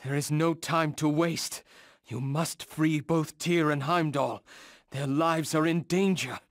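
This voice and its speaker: Slow-spoken noble warrior god. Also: male voice, on the high side, fairly even intonation, dry recording, clean studio sound. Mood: urgent, grave. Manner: formal, archaic, solemn heroic delivery. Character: noble, proud Norse warrior god, steadfast and grave. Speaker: video game voice actor. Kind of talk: scripted fantasy game dialogue.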